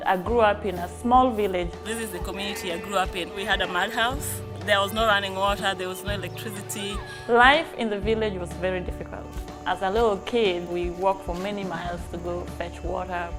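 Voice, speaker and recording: An adult woman recounts her village childhood in a plain, earnest way. Her voice sits at an ordinary middle pitch. Guitar music plays clearly beneath her. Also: fairly even intonation, brisk. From Kenya. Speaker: project founder. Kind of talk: personal testimony over video.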